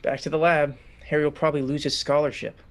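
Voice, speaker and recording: A man's voice: fairly high pitch, level tone, quick pace, lightly acted. A man as a wry, shy young student. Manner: dry, understated quips. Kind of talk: script reading as radio play.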